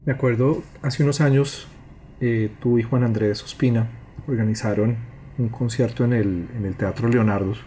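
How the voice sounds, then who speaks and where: A man's voice: mid-range voice, steady tone, normal speed, dark tone, a little hiss or hum. A man hosting an interview, asking long, winding questions. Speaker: interviewer and host.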